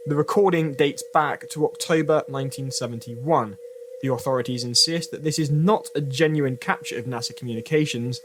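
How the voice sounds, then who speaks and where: A man reading a formal scripted announcement in documentary style. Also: mid-range voice. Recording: clearly noisy recording with hum.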